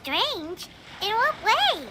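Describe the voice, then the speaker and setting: A woman voicing a tiny, childlike fairy companion in a video game, curious and blunt, with a squeaky way of talking. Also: sing-song, extremely slow, heavy background noise, exaggerated voice. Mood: puzzled, curious. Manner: squeaky, refers to herself by name. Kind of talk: scripted video game dialogue.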